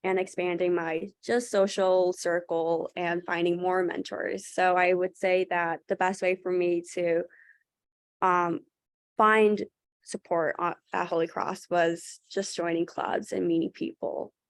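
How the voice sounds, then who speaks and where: A woman's voice: lowish voice, level tone, unhurried, no echo. A young woman from Thailand, a student panelist on a webinar, talking earnestly with many fillers and restarts. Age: young adult. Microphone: video call.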